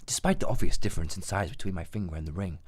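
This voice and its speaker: A man's voice: low voice, rapid-fire, husky. A man hosting a gaming video, reading aloud and joking along in a playful, theatrical, giggly way. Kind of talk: jokey gameplay commentary and reading aloud.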